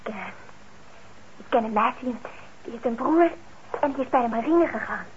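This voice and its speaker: A dull old radio drama recording with faint noise features a woman playing a teenage sister. Her voice is high and girlish, and she chatters with an eager, teasing, caring manner. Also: lively intonation, normal speed, lightly acted. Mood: caring, coaxing. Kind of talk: naturalistic radio play acting in Dutch.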